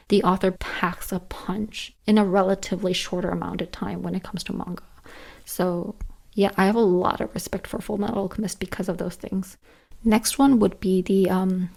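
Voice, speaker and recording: A woman with a tired, hoarse voice talks casually and earnestly through a list of favorites, repeating words for emphasis. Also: medium pitch, darkish tone. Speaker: book reviewer, channel host. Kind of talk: casual list-style book and manga chat.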